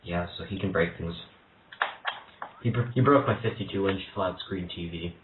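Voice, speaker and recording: Deep-voiced young man prank calling. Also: normal speed. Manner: hesitant, stammering, mock-earnest. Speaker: prank caller. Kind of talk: prank phone call, posing as a troubled single father. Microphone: phone. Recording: tinny phone line, echoey room, faint hiss.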